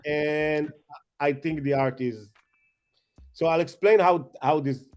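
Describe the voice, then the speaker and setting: A man chatting as a guest, excitable and rambling, self-mocking, with non-native English phrasing. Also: fairly high pitch, slow pace. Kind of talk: casual chat.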